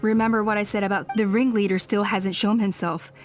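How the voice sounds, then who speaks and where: An actress plays a calm guardian woman in a fantasy game. She speaks at a medium pitch in a measured, formal, cautious way, with faint music underneath. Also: lightly acted. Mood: cautioning. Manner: formal, reasoning. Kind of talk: English video game dub.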